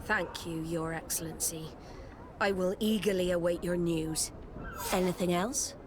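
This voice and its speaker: A woman's voice: fairly low pitch, steady tone, a little slow, natural voice. Woman playing a haughty navy admiral, noisy backdrop. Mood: formal, brisk. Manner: haughty, formal, commanding. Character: stern, proud, indignant female navy admiral. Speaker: game character actor. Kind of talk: dramatic video game acting.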